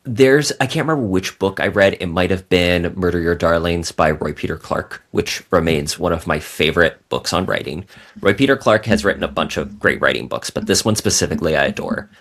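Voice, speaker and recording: A man's voice: low voice, fairly quick, fairly bright tone. A man speaking as a guest novelist on a podcast, explaining writing in an articulate, bookish, conversational way. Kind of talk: conversational interview talk about writing.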